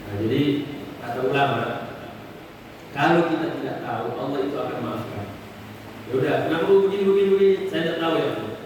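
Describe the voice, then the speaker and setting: A man's voice: medium pitch, fast talker, pauses often, darkish tone. A man giving a conversational Islamic sermon, explaining patiently and repeating phrases for emphasis. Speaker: Islamic preacher answering questions. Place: mosque hall. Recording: echoey mosque hall, distant mic, noisy.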